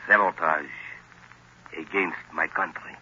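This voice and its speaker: An actor playing a sinister, domineering professor and hypnotist in a theatrical old radio drama style, commanding and menacing. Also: male voice, sits low, fairly even intonation, measured pace, bright, forceful tone, exaggerated voice. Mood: commanding, hypnotic. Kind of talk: 1940s radio drama acting. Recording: dull, noisy old radio recording.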